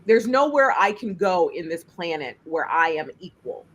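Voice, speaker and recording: A woman filmmaker with a deep voice and lots of bass, speaking emphatically in a panel discussion over a video call. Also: normal speed, slightly rough. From United States. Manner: emphatic, animated, laughs while speaking. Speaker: filmmaker and juror.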